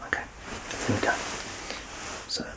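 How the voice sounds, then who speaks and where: A man in his thirties doing a soft-spoken ASMR roleplay as a doctor, gentle and reassuring, often close to a whisper. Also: unhurried. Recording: clear background noise and ambience.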